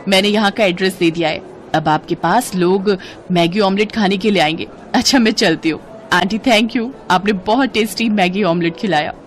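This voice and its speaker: A woman's voice: mid-range voice, normal speed, soft background music, natural voice. A woman voicing a kind, helpful young female customer in a moral story, eager to help. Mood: cheerful, encouraging. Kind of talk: storybook character acting.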